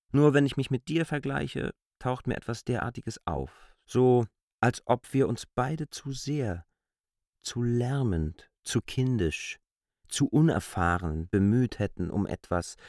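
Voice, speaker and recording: An actor playing an earnest, reflective man in a literary radio play, reasoning deliberately in long, argumentative sentences. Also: mid-range voice, no echo, clean studio sound.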